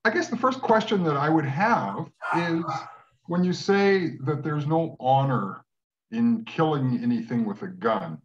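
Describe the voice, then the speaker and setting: A man in his sixties from Western Canada, speaking in a measured way with many fillers on a video call from home. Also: a little high, animated. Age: 60s. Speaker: guest. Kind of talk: casual video call conversation. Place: home room. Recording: dull lo-fi webcam audio.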